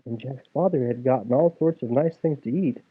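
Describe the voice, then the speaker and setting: A man's voice: mid-range voice, brisk, slightly rough. A man reading a children's fairy tale aloud as a storyteller, acting it out as he goes. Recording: tinny, phone-like narrow sound.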